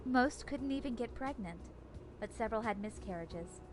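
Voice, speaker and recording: A woman playing a serious, guarded investigator in a visual novel, speaking in a measured, earnest way. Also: on the high side, animated, background noise, close to an everyday voice. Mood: grave. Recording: music playing behind. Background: game music.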